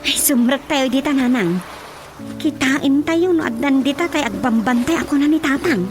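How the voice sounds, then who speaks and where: Dalagang Ilocana, napakatinis ng boses. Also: female voice, high voice, animated, normal speed. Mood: coaxing. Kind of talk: Ilocano radio comedy-drama acting. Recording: music bed behind the voice.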